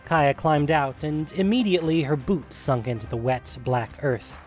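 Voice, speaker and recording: A man's voice: on the high side, normal speed, a little mellow. Male fantasy story narrator over music.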